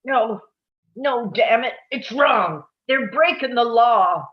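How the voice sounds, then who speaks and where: A woman playing an indignant, stubborn Old West marshal in a table read, with emphatic drawn-out delivery on a dull recording. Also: a little high. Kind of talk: live screenplay table read.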